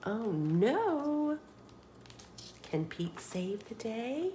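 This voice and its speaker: A woman reading a children's picture book aloud as a storytime host, expressive and dramatic, putting questions to young listeners. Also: fairly high pitch, drawn-out words, slight background noise.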